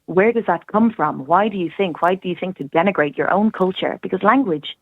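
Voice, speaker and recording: A woman's voice: on the low side, a little fast, narrow, tinny audio, slight background noise. An Irish woman, a language teacher and guest, talking earnestly and eagerly in long runs on a radio chat show. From Ireland. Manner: earnest and enthusiastic, talks in long flowing runs. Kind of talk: casual radio interview.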